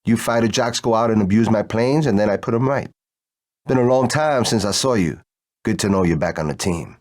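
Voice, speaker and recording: Older male mechanic for a game played by a man: low-pitched, folksy, teasing, relaxed old-friend manner. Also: fairly quick, lightly acted. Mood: friendly. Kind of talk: game cutscene dialogue.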